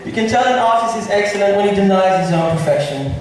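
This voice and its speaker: Man playing a lively, high-voiced prince, heavily echoing. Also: fast talker. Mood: genial. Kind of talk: amateur school stage play of a modernized Shakespeare comedy. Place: large echoey indoor hall. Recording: very echoey hall from far off, hissy.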